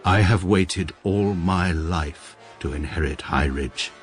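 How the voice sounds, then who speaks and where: An actor playing a middle-aged lawyer narrating his own story in precise, deadpan radio-comedy style. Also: low-pitched, slow, faint music behind. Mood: wry. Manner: precise, dry, pedantic. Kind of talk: dry deadpan first-person narration.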